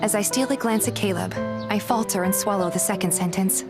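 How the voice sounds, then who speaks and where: A woman's voice: medium pitch, fairly quick, close to an everyday voice. A woman playing a guarded, feisty young heroine, narrating a romance game in the first person and acting out her dialogue. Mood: wistful. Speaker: narrator and lead in a fan dub. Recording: music behind the voice. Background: music.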